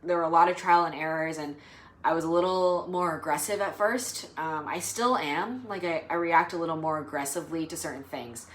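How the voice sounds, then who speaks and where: A woman's voice: deep voice, fairly quick, a little hiss or hum. A young adult woman vlogging casually to the camera, rambling and hesitant with lots of fillers. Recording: roomy echo.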